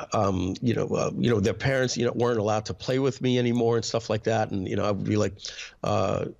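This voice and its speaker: A man, telling a personal story as an interview guest, hesitant, with frequent throat clearing and filler words. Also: fairly low pitch, steady tone, fairly quick, a little mellow, muffled recording. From New York. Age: 50s.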